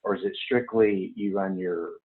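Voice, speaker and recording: A man's voice: deep voice, fairly even intonation, normal speed. A man who coaches a youth team, asking questions on a call in a hesitant way, often restarting his sentences. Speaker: caller, youth basketball coach. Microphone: remote call. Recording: tinny phone-like line.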